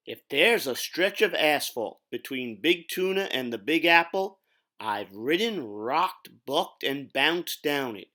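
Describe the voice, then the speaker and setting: A man's voice: on the high side, pushed and bright, heavily acted. A man voicing a friendly, boastful big truck in a folksy storytelling manner for a children's storybook read-aloud.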